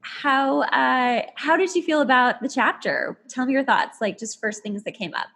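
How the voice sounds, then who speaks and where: A woman's voice: sits high, expressive, normal speed, vocal fry, fairly bright tone. A woman in her thirties hosting a casual podcast chat, giggly and effusive in the way she talks. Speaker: podcast host.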